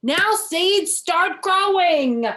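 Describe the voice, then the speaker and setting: Woman voicing a fretful, high-pitched storybook toad. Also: lively intonation, very slow, roomy sound, exaggerated voice. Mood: loud, demanding. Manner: loud, whiny, dramatic wailing and shouting. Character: excitable, fretful, stubborn male storybook toad.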